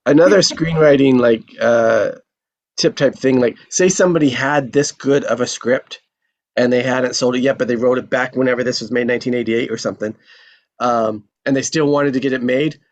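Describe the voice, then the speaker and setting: A man's voice: mid-range voice, normal speed, muffled recording. A man in a casual discussion after a table read, thinking aloud with many fillers and restarts.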